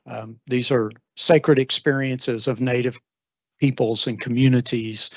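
Middle-aged man, earnest guest lecturer, halting and thoughtful, dry slightly dull mic. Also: medium pitch, no echo. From Texas, USA. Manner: earnest, thoughtful, many ums and restarts. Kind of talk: informal lecture. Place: library meeting room. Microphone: handheld microphone.

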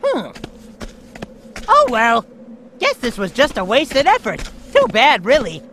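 A man's voice: extremely high pitch, huge pitch swings, slow, sharp tone, slight background noise, full character voice. An actor playing a cocky, lazy young male hawk gang leader in a video game. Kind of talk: video game cutscene acting.

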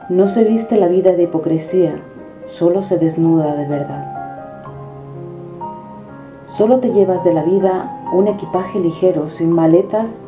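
A woman declaiming poetic prose in a solemn, measured recitation. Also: lowish voice. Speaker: poetry reciter. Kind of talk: declaimed spoken-word poetry. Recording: dull reverberant recording over music. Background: background music.

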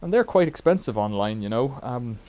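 A man's voice: medium pitch, expressive, fairly quick, mellow. A middle-aged man casually demonstrating a bike repair in a cluttered workshop, rambling with wry asides.

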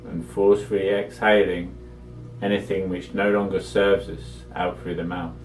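A man with a low, level voice guides a meditation in a measured, soothing way. He leaves long pauses between short instructions. The sound is echoey and set back from the microphone. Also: level tone, recorded from a distance, faint music behind.

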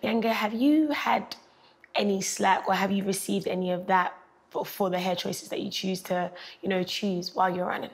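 A young woman moderates a panel in a bright studio, talking in a chatty, easy way. She drops into a higher, mimicking voice to act out other people's remarks, then comes back to her own. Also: fairly even intonation, normal speed, gravelly. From Britain. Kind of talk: casual panel discussion.

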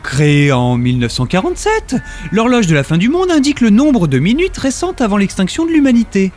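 A man playing an anxious, excitable, self-satisfied husband in a comic audio drama, with an animated, flustered delivery. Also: high-pitched, a little fast. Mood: agitated. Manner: animated, indignant, hesitant.

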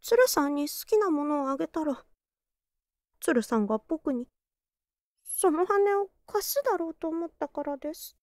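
A woman's voice: high-pitched, expressive, moderate pace, a little mellow, dry recording. A woman voicing a shy, earnest young boy duck in a children's storybook tale. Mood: bashful, confessing.

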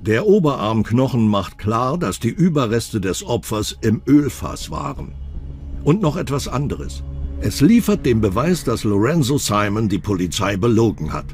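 A man's voice: on the low side, big pitch swings, moderate pace, a little mellow. A man reading scripted true-crime documentary narration as the narrator. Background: background music.

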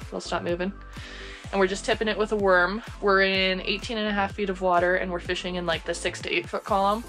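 A woman's voice: mid-range voice, level tone, fairly quick, fairly bright tone, faint music behind. Young American woman, chatty ice fishing vlog. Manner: chatty, giggly, explaining to viewers. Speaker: vlog co-host. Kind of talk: casual outdoor chat. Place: inside a small ice fishing tent. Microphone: action camera in the tent.

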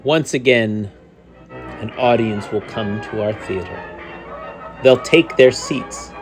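Male promo narrator, low register, very slow dramatic scripted read. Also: soft background music.

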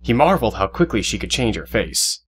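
A man's voice: lowish voice, big pitch swings, brisk, breathy edge. A man reading scripted narration for an audio drama as the storyteller. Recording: music behind the voice.

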